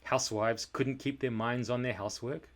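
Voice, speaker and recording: A man reading a children's story aloud in a dramatic, expressive and deliberate narration style. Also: mid-range voice. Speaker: storybook reader.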